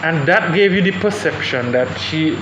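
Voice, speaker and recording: A man's voice: a little high, animated, normal speed, dull audio. A young man speaking on a panel, thoughtful and hesitant with many restarts. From Saint Vincent and the Grenadines. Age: young adult. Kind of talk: panel discussion. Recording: echoey room with background noise.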